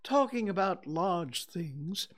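A storybook reader voicing a humble, slow-witted, dreamy toy bear with a hesitant, wistful manner. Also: medium pitch, animated, gravelly, pitched above the performer's own voice, exaggerated voice.

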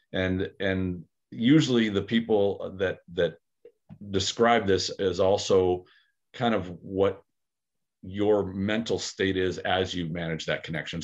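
Man, very low voice, casual podcast talk, thoughtful and halting; dull lo-fi recording. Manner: thoughtful, hesitant, many fillers and restarts. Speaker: aikido practitioner, podcast co-host.

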